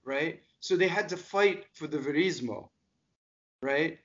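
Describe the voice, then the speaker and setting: Lively American man on a muffled video call. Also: on the high side, a little slow. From United States. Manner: energetic, gestures a lot, asks pointed questions. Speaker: diction coach. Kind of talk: casual video call discussion. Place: home music room. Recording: dull lo-fi call audio.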